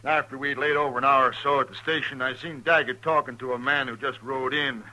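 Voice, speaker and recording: A forceful, hard-edged voice of a frontier stage driver, medium in pitch. He is rustic and emphatic, shaken and indignant, in the manner of 1950s radio acting. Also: steady tone, fairly quick, poor audio. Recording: bright 1950s radio recording.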